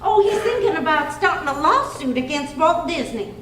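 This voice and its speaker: A woman's voice: high-pitched, sing-song, normal speed, a bit bright. A woman playing a teasing, sensible, long-suffering wife in old-time radio comedy, with a dry, fondly scolding manner. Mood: chatty, teasing. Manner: dry, wry, fond scolding. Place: clubhouse hall, live radio play performance. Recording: echoey live hall recording, faint noise.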